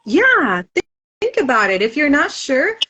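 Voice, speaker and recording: A woman's voice: high voice, big pitch swings, a little slow, pushed and bright, muffled recording. A woman author whose first language is Spanish, chatting thoughtfully in a casual interview with frequent "um" fillers.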